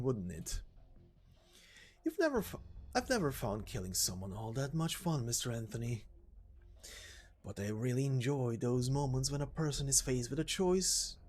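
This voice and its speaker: A man voicing a sadistic, mock-polite gentleman villain in a game story, savoring his words in a theatrical, drawn-out delivery. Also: medium pitch, breathy edge. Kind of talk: dramatic game story voice acting.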